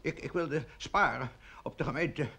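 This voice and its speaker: Radio drama: blustering, self-pitying mayor, husky, creaky delivery. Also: male voice, on the high side, moderate pace, pushed and bright, full character voice. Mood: defensive, flustered. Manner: lamenting, stammering, pompous. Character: flustered, blustering male village mayor, self-pitying then bossy. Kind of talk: Dutch radio play acting.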